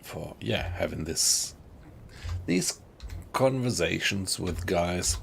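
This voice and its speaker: A middle-aged man from Germany with a German accent, chatting in a friendly, halting way with viewers on a live stream. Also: low voice, lively intonation, vocal fry, a little hiss or hum. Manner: thoughtful, friendly, halting with fillers.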